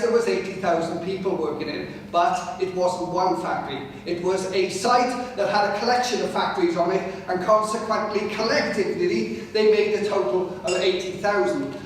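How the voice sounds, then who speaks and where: A loud man with a fairly high voice lectures an audience without a microphone, heard from far off. His voice rings around a big echoey hall with a long reverb tail. He talks in a chatty way and keeps checking in with the crowd. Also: a little hiss or hum. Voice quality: naturally loud voice. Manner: chatty, addresses the audience directly with frequent "right?". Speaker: local history speaker. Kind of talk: public history talk to a live audience. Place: large hall with pillars, live audience. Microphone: no microphone, far room pickup.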